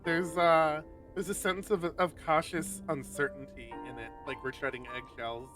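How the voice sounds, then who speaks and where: A man streaming a visual novel, reading it aloud and commenting casually and expressively, thinking out loud and swearing. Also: fairly high pitch, flat delivery, normal speed. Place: live game stream. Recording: distant mic, music over voice.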